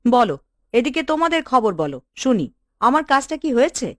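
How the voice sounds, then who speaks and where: A scheming female cartoon official acted by a woman, bossy and dismissive. Her voice sits fairly high and swings widely in pitch, recorded close and dry. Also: very animated, moderate pace, no room sound. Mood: impatient, dismissive. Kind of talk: Bengali cartoon dialogue.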